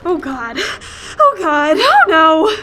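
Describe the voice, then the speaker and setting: A woman's voice: very high voice, sing-song, extremely slow, pushed and bright, full character voice. A woman playing a frightened, guilt-ridden young scientist in an amateur radio play, anxious and pleading. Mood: distraught.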